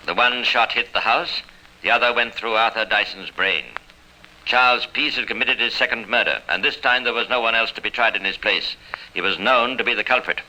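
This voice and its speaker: A man narrating a radio crime drama in a formal, dramatic, measured 1950s style. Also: on the low side, bright, forceful tone. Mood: grave, dramatic. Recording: faintly noisy old radio recording.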